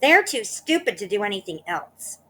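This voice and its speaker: A woman's voice: medium pitch, very animated, normal speed, fairly bright tone, slight background noise, voice pushed up. A woman reading a storybook aloud, voicing a nasty, spiteful old woman who screeches and wails in panic. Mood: gloating. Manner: screeching, wailing.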